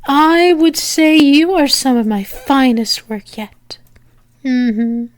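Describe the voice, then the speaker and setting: A woman playing a teasing, lovestruck female demon in an intimate ASMR roleplay, speaking haltingly with fond endearments. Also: high-pitched, steady tone. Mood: teasing, proud.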